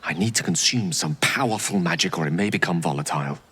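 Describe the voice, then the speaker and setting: An actor playing a grave, courteous, burdened adventurer in a video game, speaking in florid, measured phrases with a wry edge. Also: male voice, deep voice, fairly bright tone, husky. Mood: weary, confiding. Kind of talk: video game character acting.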